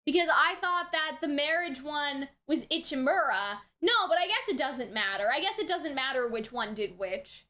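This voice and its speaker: A woman's voice: sits high, animated, normal speed, hardly any pauses, sharp tone. A woman in her early twenties giving casual, chatty, emphatic commentary to viewers as a review host, often checking in with the listener. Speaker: anime reaction and review host.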